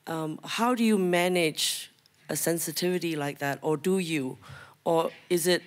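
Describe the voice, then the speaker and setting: A man from North Asia asking a question from the audience, slightly hoarse, hesitant with many fillers. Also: a little high, unhurried. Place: large auditorium.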